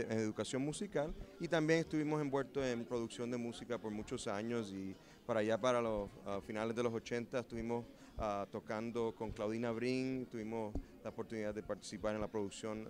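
A man in his forties from New Jersey, a musician and producer answering interview questions earnestly at an expo booth. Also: mid-range voice. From New Jersey, USA. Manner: earnest, with frequent hesitations. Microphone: handheld mic.

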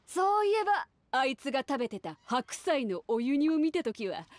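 A woman's voice: sits high, big pitch swings, normal speed, no room sound. A woman voicing a bold, confident female sea captain in a Japanese anime game, brusque and self-assured. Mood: scornful.

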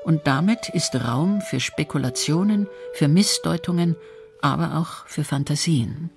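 A man's voice: fairly high pitch, a little slow, gravelly, music in the background. A man reading scripted documentary narration as the narrator of an audio feature.

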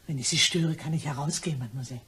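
A woman's voice: sits low, rapid-fire, a bit bright, slightly rough, full character voice. A woman playing a sly, chatty cleaning lady turned blackmailer in a crime radio play, ingratiating and mock-innocent in manner. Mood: servile, curious.

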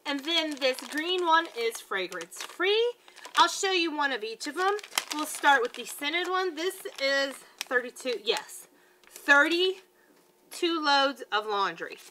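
Chatty bright-voiced woman reviewing products. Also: high-pitched, very animated, slow, slight background noise, poor audio. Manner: chatty, stumbles over words. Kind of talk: casual product review vlog. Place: living room at home. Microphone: webcam at close range.

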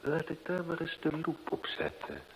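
Formal sharp-minded detective in an old radio play, lively pitch, hissy recording with long reverb. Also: male voice, normal speed. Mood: keen, brisk. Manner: formal, incisive. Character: confident, probing male detective, brisk and authoritative. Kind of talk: old-time Dutch radio drama acting.

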